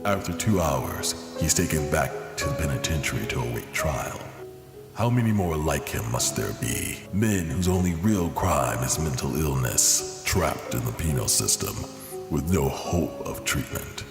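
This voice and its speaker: A man voicing a somber, haunted psychiatrist narrating his journal for a comic-book drama, measured and brooding, pausing between phrases. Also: extremely low pitch, expressive, exaggerated voice. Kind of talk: dramatic first-person scripted narration. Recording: reverberant echo under music.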